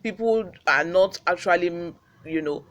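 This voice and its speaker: A man's voice: sits high, unhurried, fairly bright tone. A man with a Nigerian accent, hosting a news channel with read reports and informal commentary.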